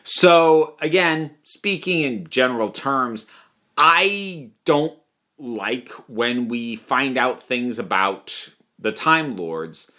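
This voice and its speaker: A man's voice: mid-range voice, sharp tone. A man delivering a conversational, opinionated review monologue, thinking aloud with emphatic points. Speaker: reviewer of audio dramas.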